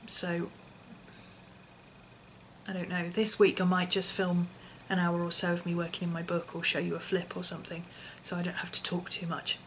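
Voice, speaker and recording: A woman, her voice hoarse and husky from an infection, chatting casually in a vlog with lots of ums and small laughs. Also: lowish voice, monotone, normal speed, slight background noise, poor audio. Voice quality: husky, often whispery. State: hoarse, voice partly lost from infection. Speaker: vlogger.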